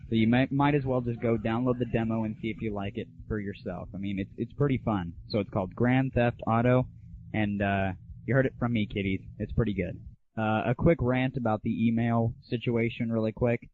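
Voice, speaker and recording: A man's voice: mid-range voice, fairly even intonation, fairly quick, a little hiss or hum. Man ranting casually over phone-like audio. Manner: slangy, irreverent, opinionated. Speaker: host of a gaming audio show. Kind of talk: casual solo rant and game review. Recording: narrow, phone-like 1990s internet audio.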